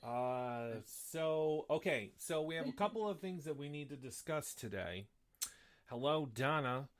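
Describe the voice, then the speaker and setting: A man's voice: on the high side, lively intonation, measured pace. A man hosting a casual live show, rambling with many fillers and restarts, sniffly with a slight cough. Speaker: host.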